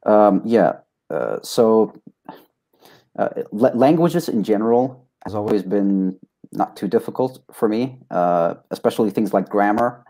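A young man from Sweden, a native Swedish speaker, giving casual, halting, modest answers as an interview guest. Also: on the low side, animated, dark tone. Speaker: interview guest, test taker.

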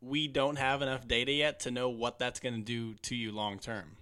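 A man hosting a podcast interview, asking questions in a relaxed manner and often answering with short acknowledgements. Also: mid-range voice, normal speed. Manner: relaxed, with frequent short backchannel replies. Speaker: podcast host.

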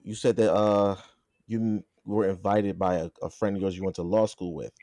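A man hosts a laid-back podcast in a fairly low voice. The sound is dry and close. He trips over words, repeats them and corrects himself as he asks questions. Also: fairly even intonation, moderate pace, mellow, no room sound. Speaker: podcast host. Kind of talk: casual podcast interview.

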